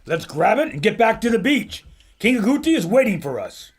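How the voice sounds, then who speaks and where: An actor playing a brash, wisecracking adventurer filmmaker with raspy, slangy tough-guy talk. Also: high voice, big pitch swings, fairly quick, exaggerated voice.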